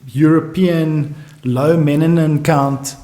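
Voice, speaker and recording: A man, a guest economist, musing aloud in halting restarts during a casual podcast conversation. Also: fairly high pitch, steady tone, mellow, reverberant. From South Africa.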